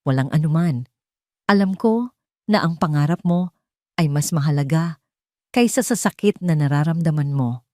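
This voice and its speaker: A woman voicing a gentle, protective man who speaks earnestly and reassuringly in an audio story. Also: deep voice, expressive, moderate pace, pauses often, dry recording, clean studio sound.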